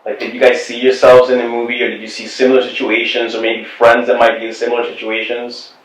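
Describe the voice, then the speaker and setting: A man's voice: mid-range voice, fast talker. Joking male podcast host, roomy sound. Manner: relaxed, joking questioner. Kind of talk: casual interview. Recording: roomy room, mic at a distance.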